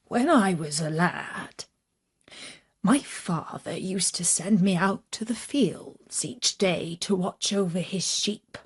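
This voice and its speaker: A British woman narrating a scripted children's story in a measured, expressive storytelling manner. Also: fairly low pitch, slightly rough.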